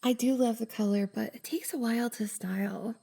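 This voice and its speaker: A woman playing a shy, bubbly young cosplayer and affectionate best friend in an intimate roleplay ASMR, soft, hesitant and giggly. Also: on the high side, steady tone, brisk. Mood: embarrassed, relieved. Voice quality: soft, breathy, slightly husky. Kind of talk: F4M roleplay ASMR, intimate one-sided conversation.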